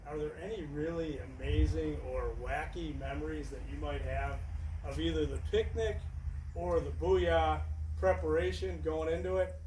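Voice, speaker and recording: A man's voice: fairly high pitch, a little mellow, a little hiss or hum. A man hosting a casual interview, jokey and upbeat. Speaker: host. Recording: echoey room, distant mic.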